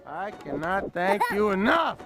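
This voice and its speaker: A man's voice: sits high, big pitch swings, unhurried. A man voicing an angry, foul-mouthed captive rebel chicken in a cartoon game. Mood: grateful. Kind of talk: cartoon video game acting. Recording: loud music behind.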